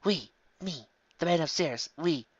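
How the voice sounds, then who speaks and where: A man's voice: fairly high pitch, expressive, unhurried, husky, no echo, highs cut off, voice pushed up. A man reading a fan story aloud, voicing a stern, guilt-tripping ghostly mother who scolds her grown son. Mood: scolding. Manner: strict, scolding.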